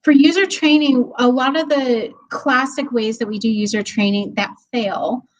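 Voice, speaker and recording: A woman's voice: on the high side, steady tone, moderate pace, rough recording. Upbeat woman presenting a tech webinar. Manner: friendly, laughs easily, many ums. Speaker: guest speaker. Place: office hallway.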